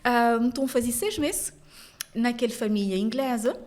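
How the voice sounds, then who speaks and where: Mulher animada, voz um pouco aguda. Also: female voice, fairly high pitch. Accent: Cape Verdean. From France. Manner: animated storytelling, quick laughs. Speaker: podcast guest, journalist. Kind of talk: casual interview conversation.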